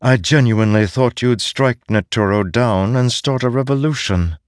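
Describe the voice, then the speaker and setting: Guy doing a bossy cold voice-in-your-head, sharp and cutting, dry close sound. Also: medium pitch, normal speed, dry recording, voice pushed up, natural voice. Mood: imperious. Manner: imperious, cutting. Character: cold commanding disembodied male voice.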